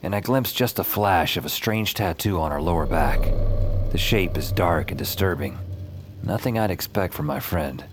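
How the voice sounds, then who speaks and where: A man's voice: sits low, animated, moderate pace, slight background noise. A man acting a wry, slangy urban fantasy hero who narrates his own story in an audio drama. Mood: concerned, confiding. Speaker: lead actor and narrator. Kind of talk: audio fiction acting with first-person narration. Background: loud music and effects.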